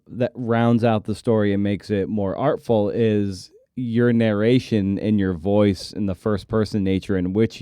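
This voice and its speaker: Male interviewer, dark mellow tone, close dry sound, thoughtful conversational delivery. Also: on the low side, clean tone, no room sound. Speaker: podcast host, interviewer.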